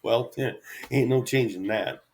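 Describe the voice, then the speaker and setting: A middle-aged man rambling unscripted to his viewers as a solo vlogger, halting and easygoing, with self-deprecating asides. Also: mid-range voice, darkish tone.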